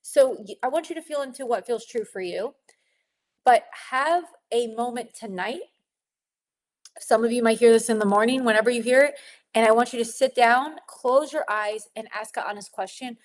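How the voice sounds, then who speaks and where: Sniffly woman coaching gently. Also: a little high, normal speed. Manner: warm, encouraging, laughs often. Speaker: spiritual money coach. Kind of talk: guided teaching talk to a women's group.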